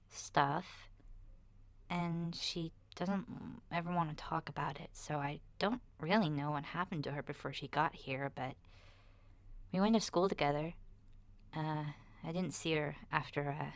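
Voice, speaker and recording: A woman voicing an awkward ten-year-old boy in a hesitant, rambling ASMR roleplay diary, soft and breathy and often slipping toward a whisper. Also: deep voice, dry recording. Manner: hesitant, shy, rambling with sighs and self-corrections.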